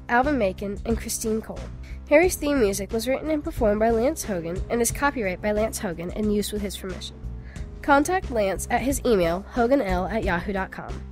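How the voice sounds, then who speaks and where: A woman's voice: a little high, darkish tone. A woman announcer reading scripted end credits for an audio show. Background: music.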